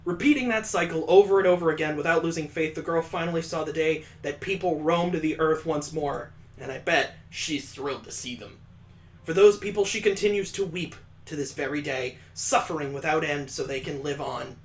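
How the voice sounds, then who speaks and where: A man with a slightly high voice reads a story game's text aloud in a mock-solemn tone. He keeps interrupting himself with dry, sarcastic jokes about what he's reading. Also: moderate pace. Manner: wry, mocking asides between dramatic readings. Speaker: let's play host.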